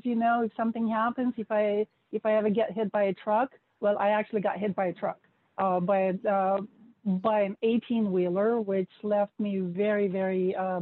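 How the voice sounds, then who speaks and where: A woman who learned English as an adult, a guest answering interview questions in a relaxed way, laughing easily and pausing to think. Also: fairly high pitch, no echo. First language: not English. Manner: relaxed, laughing, thoughtful pauses. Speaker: podcast guest, investor. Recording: dry thin phone-like audio.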